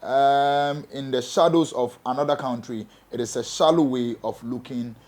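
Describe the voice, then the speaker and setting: A man's voice: mid-range voice, normal speed, a little mellow. A man with a Ghanaian accent hosting an entertainment talk show and presenting music news. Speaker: show host and MC.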